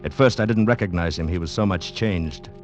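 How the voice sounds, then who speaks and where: An actor playing a haunted mining engineer and former climber in a formal, dramatic old radio drama style. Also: male voice, sits low, brisk, lightly acted. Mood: grave, haunted. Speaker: narrator and character in radio drama. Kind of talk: 1940s radio acting, dramatic first-person narration. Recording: vintage radio recording with music.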